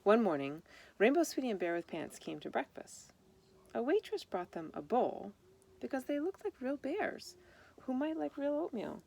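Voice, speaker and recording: A woman's voice: fairly high pitch, big pitch swings, moderate pace, gravelly, darkish tone, breathy edge. A woman reading a children's picture book aloud, explaining things simply and speaking directly to young listeners. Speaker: storybook reader.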